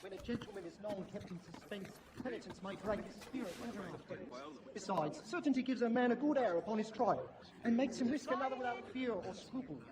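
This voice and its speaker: A man's voice: sits high, a little fast, a crowd in the background, natural voice. A male actor playing a crime boss's glib underling in a period stage piece, wordy and declamatory in an echoey space with music. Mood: glib, obliging. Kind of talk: theatrical period stage acting. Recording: echoey theatre sound, loud music.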